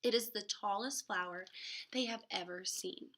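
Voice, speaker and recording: A woman's voice: mid-range voice, moderate pace, fairly bright tone. A woman reading a picture book aloud to children expressively, with dramatic emphasis and casual, chatty asides. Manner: dramatic emphasis, gasps and exclamations.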